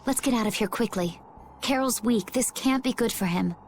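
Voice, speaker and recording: A woman voicing a self-assured, composed young woman in a video game, coy and gently teasing. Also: a little high, moderate pace, slight background noise, close to an everyday voice.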